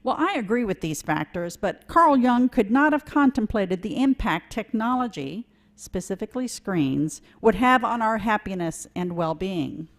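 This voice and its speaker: A woman giving a sermon in a church, earnest and measured, with a touch of self-deprecating humor. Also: medium pitch, lively intonation. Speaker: lay preacher. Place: church sanctuary.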